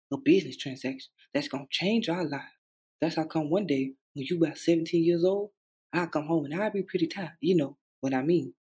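A working-class father, played by a man shares big dreams with his young son in a stage monologue. His voice is husky and mellow, the delivery earnest, folksy and hopeful. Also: fairly high pitch. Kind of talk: dramatic stage monologue reading.

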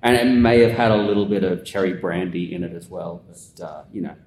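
An Australian man with a low voice talks through ideas in a loose, rambling way, full of ums and restarts. He is recorded in an echoey room at a conference, with a faint rumble behind him. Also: brisk. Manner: relaxed, rambling explainer with many fillers. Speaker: guest. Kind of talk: casual conversational interview. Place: conference venue.

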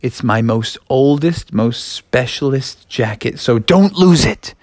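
A man's voice: medium pitch, animated, takes it slowly, darkish tone, no echo, clean studio sound. A man voicing a stern but relenting father frog in a storybook, firm and repetitive. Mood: reluctant, warning.

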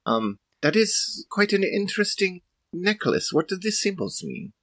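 A man's voice: high-pitched, sing-song, measured pace, fairly bright tone, no room sound, studio quality, voice pushed up, heavily acted. A man playing a shy, awkward woman scientist in a tabletop game, speaking hesitantly and formally. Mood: shy, flustered. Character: shy, awkward, polite female scientist Doctor Laura.

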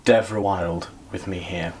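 Man, podcast host, interview chat, effusive, unhurried, keeps praising. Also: low-pitched, fairly even intonation, darkish tone.

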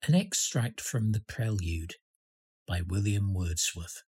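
A man reciting a poem as a reader, with measured, deliberate phrasing. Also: fairly low pitch, lively intonation, a little mellow, husky, soft background music. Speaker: poetry reader. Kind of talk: poetry recitation.

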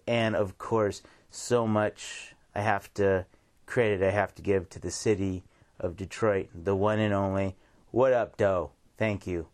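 An American man hosting a radio show, talking informally in a rambling, repetitive monologue. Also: lowish voice. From United States. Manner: rambling, informal, repeats himself. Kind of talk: casual radio monologue with shout-outs.

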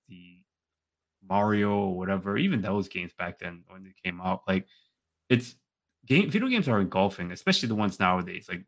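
A man co-hosting a podcast, chatting casually and telling stories in an animated way, often repeating his phrases. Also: on the low side. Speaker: podcast co-host.

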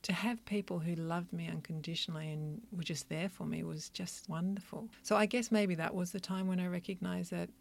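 A woman who practises Chinese medicine, answering interview questions in an earnest, thoughtful, soft-spoken way. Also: lowish voice, fairly even intonation, fairly quick, gravelly, few pauses. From Australia, country Victoria.

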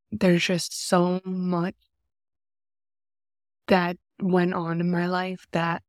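Congested, nasal woman speaking slowly. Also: fairly low pitch, no echo, clean studio sound. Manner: soft, unhurried, earnest. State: congested from a cold. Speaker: podcast host. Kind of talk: faith podcast talk and prayer. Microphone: close podcast mic.

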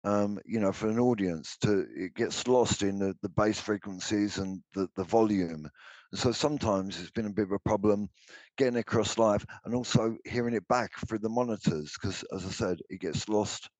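Deep-voiced older man, halting. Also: a little mellow, no room sound, rough recording. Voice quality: deep baritone voice. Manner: hesitant, many restarts and ums. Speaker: musician guest. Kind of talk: interview answers.

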